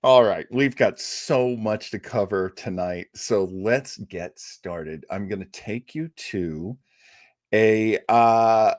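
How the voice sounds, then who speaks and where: A man's voice: fairly low pitch, slow, darkish tone, no echo, clean studio sound. A man hosting a live show, chatty and rambling as he reads out viewer comments. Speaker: show host. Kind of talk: casual livestream chat.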